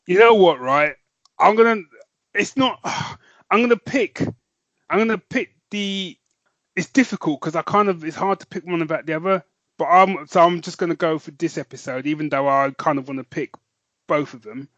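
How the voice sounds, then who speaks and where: A man's voice: on the high side, normal speed, a bit bright, no echo, lo-fi sound. Rambling enthusiastic podcast host man. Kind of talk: casual chat.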